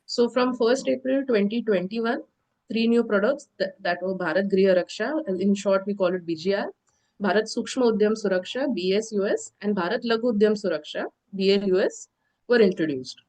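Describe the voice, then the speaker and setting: A woman giving an online insurance lecture, sounding nasal from a cold, explaining steadily with filler pauses. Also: mid-range voice, darkish tone, poor audio. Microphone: video call.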